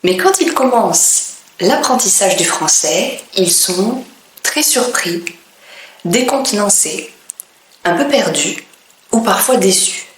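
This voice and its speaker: A woman from France, a French teacher, speaking in a clear, deliberate way with pauses between phrases. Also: mid-range voice, expressive, echoey room, slight background noise, low-quality recording. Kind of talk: slow, careful teaching monologue.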